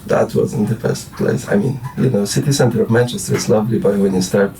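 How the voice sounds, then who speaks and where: Polish guy in his 40s, kinda low dark voice, lots of restarts, sounds a bit off mic. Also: brisk, gravelly, far from the mic, soft background music, low-quality recording. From Poland. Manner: rambling, hesitant, many restarts and fillers. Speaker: guest musician. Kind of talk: casual late-night interview.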